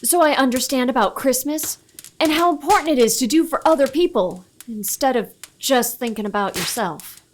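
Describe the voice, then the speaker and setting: A woman's voice: high voice, lively intonation, moderate pace, a little hiss or hum. A woman playing an earnest, polite young boy of the Old West in a radio play, speaking simply.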